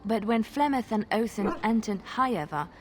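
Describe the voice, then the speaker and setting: An actress playing a traveling minstrel in a fantasy game, telling tales in a measured, suspenseful and lyrical way. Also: female voice, on the high side, expressive, noisy background, natural voice. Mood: wistful. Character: female traveling minstrel, soft-spoken, wistful storyteller. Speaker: game companion character. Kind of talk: dramatic storytelling in a fantasy game.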